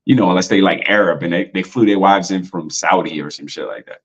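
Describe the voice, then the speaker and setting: A man's voice: sits low, quick pace, breathy edge, lo-fi sound. A man in a casual podcast talk, loose and slangy, full of "like" and "you know". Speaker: podcast guest.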